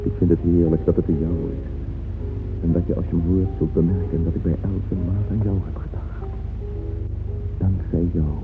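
A man's voice: deep voice, little pitch movement, normal speed. An actor playing a tormented, devoted composer confiding his letters in an earnest, intense radio play manner. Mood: tender, proud. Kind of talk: radio play acting, letter read as monologue. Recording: muffled phone-like radio play with music.

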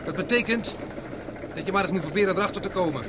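A man acting a self-assured young ex-pilot in a radio play, terse and defiant. His voice is high for a man, set against a noisy boat engine. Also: quick pace, lightly acted. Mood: curt, defiant. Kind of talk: Dutch radio drama acting. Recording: loud motorboat engine noise behind. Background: boat engine and water.

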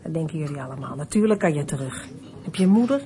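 A woman's voice: deep voice, lively intonation, fast, dark tone. Motherly woman, blunt, coaxing, no-nonsense, radio drama, faint background noise. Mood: blunt, probing. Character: blunt, motherly stranger advising a runaway child. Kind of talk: Dutch radio play acting. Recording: noisy radio play with ambience.

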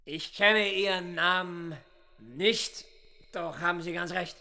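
An actor playing a proud, wild young intellectual in a radio drama, with a declamatory, pompous and erratic delivery. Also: male voice, high voice, takes it slowly, gravelly, pushed and bright, heavily acted. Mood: lofty, ironic. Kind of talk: theatrical radio drama acting.

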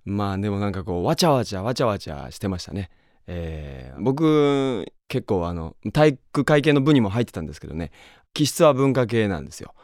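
Man, very low pitch, rambling, reflective, unscripted cast comment, dry recording. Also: very animated, a little fast, a little mellow, dry recording. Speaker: voice actor giving cast comment.

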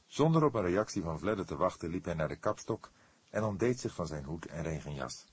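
A man narrating a detective novel as an audiobook in an expressive, scripted reading style. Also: low voice, brisk, slightly rough.

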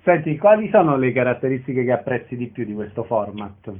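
A man's voice: mid-range voice, big pitch swings, brisk. A man conducting a radio-style interview over a phone line.

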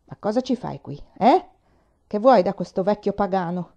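A woman voicing a big, hot-tempered, bullying older man in an audiobook, booming and firing off blunt questions. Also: expressive, normal speed, mellow, lo-fi sound. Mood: gruff, suspicious. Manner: blustering, interrogating, exclamatory. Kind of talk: audiobook character voice.

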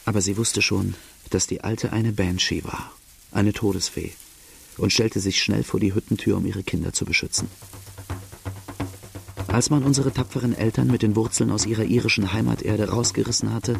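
An actor playing a brooding outlaw who gravely narrates his own life story in a radio drama. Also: fairly low pitch, steady tone, normal speed, slight background noise. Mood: grave, haunted. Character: brooding young male Irish-Australian outlaw telling his life.

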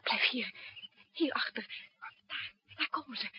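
An actress playing an anxious, protective woman in love with a spy, urgent and breathy, in a 1960s radio play. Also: a little high, unhurried, no echo. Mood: tense, hushed. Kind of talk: 1960s Dutch radio drama acting. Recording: dull old radio drama recording.

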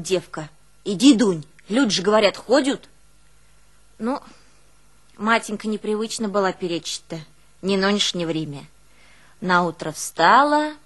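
A woman's voice: mid-range voice, a bit bright. An actress playing an old peasant woman reminiscing in a folksy, emotive monologue, with a northern Russian village dialect. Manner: folksy, emotive, with sighing exclamations and wailing quotes. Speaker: stage actress in a one-woman play. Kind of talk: dramatic monologue, folksy storytelling.

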